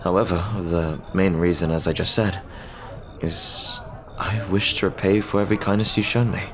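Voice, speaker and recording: A man playing an earnest, lovestruck pirate captain in an ASMR roleplay, speaking haltingly and tenderly in formal, old-fashioned phrases. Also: low voice, flat delivery, a crowd in the background, natural voice. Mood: earnest, hesitant. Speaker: audio roleplay performer.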